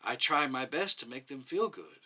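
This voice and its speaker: A man's voice: medium pitch, lively intonation, moderate pace, slightly rough, exaggerated voice. Man reading as a meek, hesitant woman medic. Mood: plainly explaining. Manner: quiet, hesitant, plain-spoken. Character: meek, defensive woman medic under questioning. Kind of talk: dramatic short story reading.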